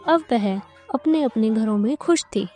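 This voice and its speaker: Higher-pitched, mellow woman storyteller. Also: expressive, measured pace, faint music behind. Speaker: narrator. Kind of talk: scripted storytelling narration for a cartoon.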